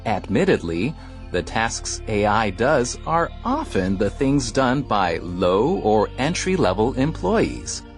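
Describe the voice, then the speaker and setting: A man reading a passage aloud in a slow, expressive way. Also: fairly low pitch, soft background music.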